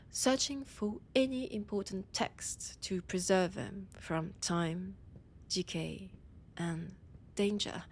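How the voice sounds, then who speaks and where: A woman playing a haughty, annoyed vampire scholar with a French accent, speaking in a theatrical monologue with dramatic pauses. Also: medium pitch, a little hiss or hum. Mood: solemn, explanatory. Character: annoyed, haughty female vampire scholar guiding a library guest. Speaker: audio roleplay performer. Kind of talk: slow theatrical in-character monologue.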